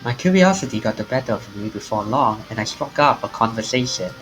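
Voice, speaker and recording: Animated man reading a game aloud. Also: lowish voice, a little fast, soft background music, poor audio. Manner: animated, acts out the lines. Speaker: streamer.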